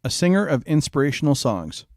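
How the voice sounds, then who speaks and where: A man hosting and playing a voice game, chatting casually and reacting aloud in an animated, informal way. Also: a little high, brisk, no room sound, studio quality. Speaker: podcast host playing a voice game. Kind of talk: casual chat.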